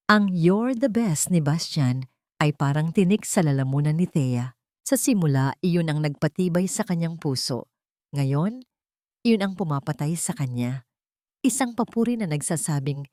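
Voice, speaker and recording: Female storyteller, Filipino accent, slightly low register, dramatic scripted delivery for romantic tales, very dry sound. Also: a little mellow, no room sound. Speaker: story narrator.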